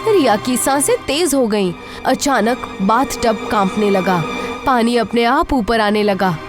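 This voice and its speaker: A woman's voice: on the high side, lively intonation, measured pace, over music. A woman telling a scripted horror story as narrator, in a suspenseful, theatrical manner.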